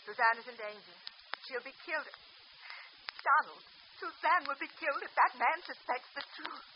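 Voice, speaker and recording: A woman's voice: high voice, expressive, moderate pace, background noise. An actress playing an anxious, urgent woman in a 1940s radio drama, on a dull old broadcast. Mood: pleading. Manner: pleading, urgent. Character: anxious middle-aged woman, protective sister.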